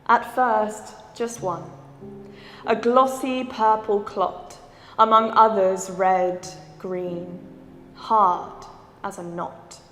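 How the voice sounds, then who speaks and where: A woman reading poetry aloud as a measured recital, in short, deliberate phrases. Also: medium pitch, fairly even intonation, a bit bright, soft background music. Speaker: poetry reader. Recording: echoey hall with long reverb.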